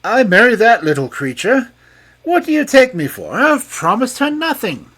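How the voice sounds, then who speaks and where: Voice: medium pitch, big pitch swings, moderate pace, fairly bright tone, husky, voice pushed up. A storybook narrator voicing a stubborn, passionate young king who speaks in a raised, emphatic voice. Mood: defiant.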